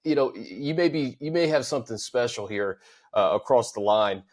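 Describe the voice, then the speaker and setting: A man hosting a football podcast, speaking conversationally with halting restarts as he sets up questions for his co-host. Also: mid-range voice, expressive, darkish tone. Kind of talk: casual football podcast chat.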